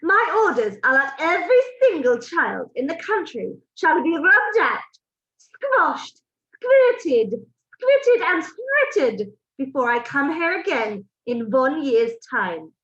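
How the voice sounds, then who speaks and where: Screeching witch queen, tyrannical, high and swooping, shouting orders, lo-fi audio. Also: big pitch swings, slow pace, echoey room, heavily acted. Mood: ranting. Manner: screeching, shouting, foreign-sounding commands. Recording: dull lo-fi recording.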